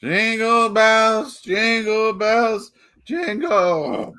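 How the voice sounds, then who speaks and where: An actor playing a drunken, grumbling department-store parade Santa in a radio play, tipsy and defiant. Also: male voice, sits high, very slow, pushed and bright, smooth, exaggerated voice. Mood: tipsy singing. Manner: tipsy, sing-song, grumbling. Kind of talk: 1940s-style radio play acting.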